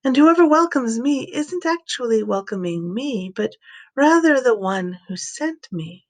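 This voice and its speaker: A woman's voice: on the high side, lively intonation, measured pace. A woman voicing an authoritative male teacher and healer in a scripture reading, firm and instructive. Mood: solemn teaching.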